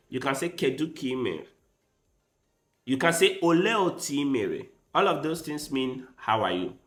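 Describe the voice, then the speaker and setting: Slow-talking, lively chess streamer man. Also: mid-range voice, echoey room. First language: Igbo. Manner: thinks aloud while playing, with quick bursts of excitement. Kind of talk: casual live-stream commentary.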